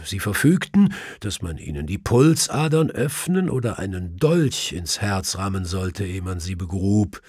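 A man voicing a cautious, learned gentleman doctor, explaining in measured sentences in an audiobook. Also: on the low side, husky, no room sound, studio quality.

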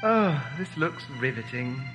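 Audio play: sceptical young male companion actor, sardonic, animated, echoey with music behind. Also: medium pitch, unhurried, highs cut off. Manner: sardonic, questioning. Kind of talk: fan audio drama acting.

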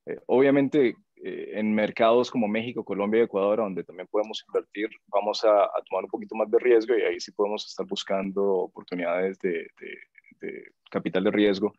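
A man's voice: fairly low pitch, steady tone, fairly quick, no echo. A man in his fifties giving unscripted answers as an investor on an online panel. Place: indoors on a video call. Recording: dull, lo-fi video-call audio.

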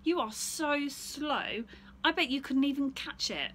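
Cheeky storybook schoolboy, played by a woman: bright, raised pitch, goading and sure of himself. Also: lively intonation, unhurried, a little hiss or hum. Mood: taunting. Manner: taunting, daring. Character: cheeky, clever young boy goading animals.

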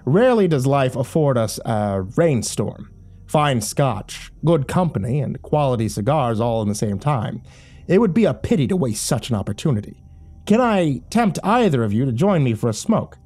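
A man voicing a suave, self-assured married gentleman in an audio story, with smooth and formal speech. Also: mid-range voice, very animated, normal speed. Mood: urbane, friendly. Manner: smooth, formal, politician-like confidence. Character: confident, suave, well-built married man, genteel research consultant.

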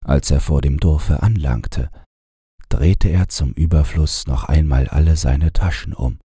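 A man narrating a bedtime fairy tale in a gravelly, husky voice, with a measured, unhurried storyteller's delivery. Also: rumbling low, warm tone, no echo, clean studio sound. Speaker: audiobook narrator.

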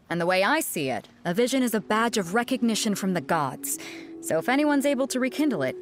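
An actress voicing a bold, confident female sea captain who organizes a fighting tournament and teases her companions, in a game cutscene with music behind her. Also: fairly bright tone. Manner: booming announcer calls to a crowd. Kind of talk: video game voice acting.

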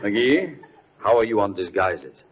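An actor playing a pompous, brisk, dryly sardonic city mayor with formal, precise speech in a 1940s radio comedy. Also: male voice, fairly low pitch, very animated, husky, heavily acted. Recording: muffled, faintly noisy 1940s radio recording.

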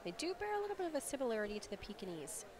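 A mid-pitched co-commentator feeds breed history into live dog show coverage, informative and quick to laugh. Heavy crowd noise sits under the voice throughout. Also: very animated.

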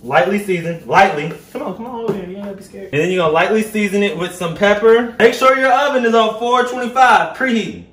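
Sassy high-voiced young Southern man. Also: fast talker, few pauses. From Louisiana, United States. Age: 20s. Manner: playful, sassy, animated, slangy. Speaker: host of a home cooking vlog. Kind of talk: casual cooking demonstration, chatty to viewers. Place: indoors in a home kitchen. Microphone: camera a step or two away. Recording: echoey kitchen, some background noise.

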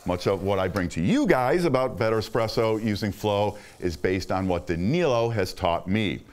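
A man's voice: on the low side, lively intonation, normal speed. A middle-aged man hosting a show, reading an enthusiastic, upbeat scripted voice-over. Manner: enthusiastic, upbeat presenter delivery. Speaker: host.

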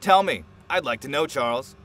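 A man's voice: medium pitch, animated, moderate pace, natural voice. A man playing a greedy, volatile scientist villain in an audio drama. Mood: menacing, scornful. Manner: volatile, sneering, swings from menace to shouting. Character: greedy, threatening male scientist turned desperate villain. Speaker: voice actor.

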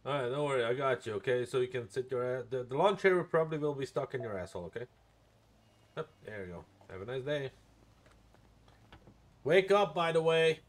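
A man's voice: mid-range voice, lively intonation, brisk, pauses often. A man bantering casually in a playful, brash, sweary way while role-playing in a game. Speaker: game streamer role-playing as an emergency responder. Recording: sirens and game noise behind.

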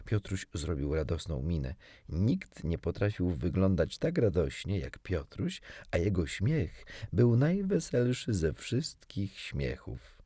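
A man reading a children's story as an expressive audiobook narrator. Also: deep voice, slow, husky, no echo, studio quality.

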